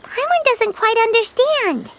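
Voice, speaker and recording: A woman's voice: very high-pitched, lively intonation, unhurried, noisy background. A woman voicing a small, childlike fairy companion in a video game, chirpy, curious and blunt. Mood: puzzled. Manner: refers to self in third person, exclaiming. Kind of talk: video game character acting. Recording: loud game music behind the voice.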